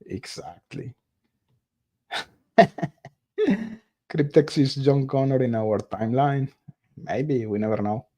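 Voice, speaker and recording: A man who is not a native English speaker, hosting a casual live stream, reading viewer comments aloud and pausing often to search for words. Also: medium pitch, mellow. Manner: halting, searches for words, many fillers. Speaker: livestream host reading viewer chat.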